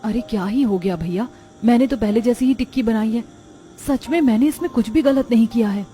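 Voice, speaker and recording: Mellow, earnest cartoon woman acted by a woman. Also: on the high side, fairly quick, soft background music, natural voice. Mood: bewildered. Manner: earnest, apologetic. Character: kind, honest woman snack seller, humble and forgiving.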